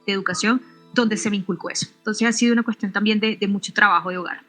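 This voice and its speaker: A middle-aged woman from Venezuela, a physicist answering interview questions in a halting way, often restarting and adding tag questions. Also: medium pitch, steady tone, sharp tone, faint music behind. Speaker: physicist and researcher, interview guest.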